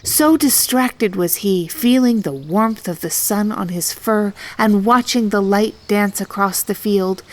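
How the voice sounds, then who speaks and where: Woman reading a kids tale, slow and deliberate, mid pitched, expressive storyteller. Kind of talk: scripted children's story narration.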